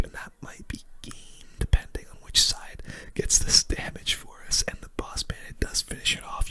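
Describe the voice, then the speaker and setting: A gaming host whispering relaxed ASMR commentary over gameplay, close to the microphone. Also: medium pitch. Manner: whispers throughout, relaxed delivery.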